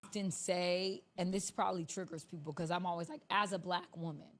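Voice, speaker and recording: A woman hosting a podcast, chatting in an animated way and laughing easily. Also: on the low side, a little fast. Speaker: host. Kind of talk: casual chat.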